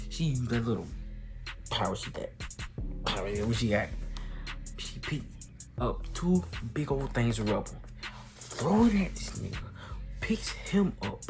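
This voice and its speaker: Young American male reviewer, unhurried and slangy, emphatic storyteller, music underneath. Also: on the low side. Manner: slangy, animated retelling with emphatic exclamations. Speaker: movie reviewer. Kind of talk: casual excited movie recap.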